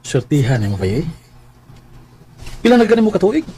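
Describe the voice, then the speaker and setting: A man's voice: fairly high pitch, wildly swooping pitch, normal speed, mellow, husky, exaggerated voice. A man playing a chatty, teasing best buddy in a radio drama, speaking casually with a Cebuano Filipino accent. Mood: teasing, admiring.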